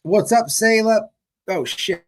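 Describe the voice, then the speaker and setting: A man's voice: high-pitched, slow pace. A man hosting a livestream, giving casual, mocking commentary in a profane, repetitive, rambling way.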